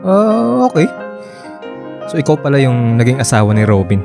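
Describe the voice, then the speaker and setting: A man's voice: mid-range voice, sing-song, normal speed, vocal fry, over music. A man acting a familiar, forward young ex-boyfriend in a Filipino radio drama, with a Filipino accent. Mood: guarded.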